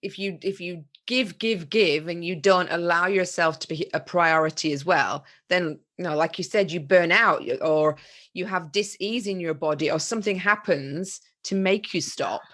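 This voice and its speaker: A woman hosting a podcast, supportive and quick to agree. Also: on the low side, a bit bright. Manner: supportive, lots of short agreements. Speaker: podcast host. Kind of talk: casual interview chat.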